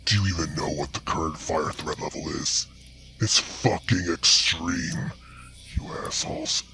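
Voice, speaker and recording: A man growling as a raspy old menacing bear. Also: extremely low pitch, a little slow, pushed and bright, faint music behind, pitched below the performer's own voice, exaggerated voice. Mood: scolding. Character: old ragged male bear, angry and menacing.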